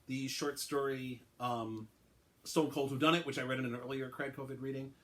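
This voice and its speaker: A man, an author, giving a relaxed dramatic reading of his own story, with occasional fillers in the intro. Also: medium pitch, moderate pace.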